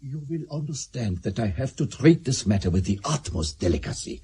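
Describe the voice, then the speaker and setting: A very husky, rough-voiced male banker from Switzerland, courteous and discreet in a radio drama. His pitch swings widely as he speaks with unctuous formality. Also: very animated, a little fast, warm tone.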